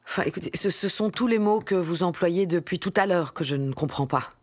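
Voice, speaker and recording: A woman playing a meek, bewildered office employee in a radio satire, speaking timidly and haltingly. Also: on the low side, dry recording, close to an everyday voice. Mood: baffled. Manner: hesitant, stammering, timid. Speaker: voice actor in a radio drama. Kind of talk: satirical radio comedy dialogue, phone-call scene.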